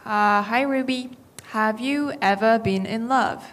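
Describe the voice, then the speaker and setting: Female questioner at a live talk, unhurried, halting, simple delivery. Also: fairly high pitch, a bit bright. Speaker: actress putting questions at an event. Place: indoor event venue with audience. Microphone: event microphone.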